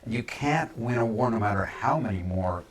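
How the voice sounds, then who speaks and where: A man speaking as a pundit in a political discussion, emphatic but halting, often repeating words as he builds his point. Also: fairly low pitch, lively intonation. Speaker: panel pundit.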